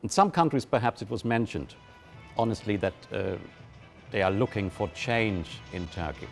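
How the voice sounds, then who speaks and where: Measured male analyst. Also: fairly low pitch, lively intonation, creaky, soft background music. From Germany. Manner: measured, deliberate, with emphatic rises. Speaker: political analyst. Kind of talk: live studio panel analysis.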